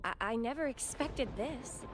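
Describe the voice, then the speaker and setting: A woman's voice: a little high, animated, normal speed, noisy background, natural voice. An actress voicing an earnest, slightly hesitant young woman in a video game. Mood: wistful awe.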